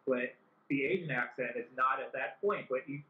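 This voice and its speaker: A man's voice: medium pitch, moderate pace. A man talking as a guest film director in an interview, earnest and full of "like" fillers. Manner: earnest, many "like" fillers and restarts. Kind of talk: interview conversation. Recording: echoey, dull-sounding recording.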